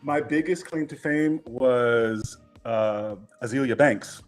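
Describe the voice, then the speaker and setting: Middle-aged male guest from Queens, relaxed and frank, mid-range voice, faint music underneath. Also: medium pitch, takes it slowly. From Queens, New York. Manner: relaxed, candid and laughing often. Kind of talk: casual interview chat.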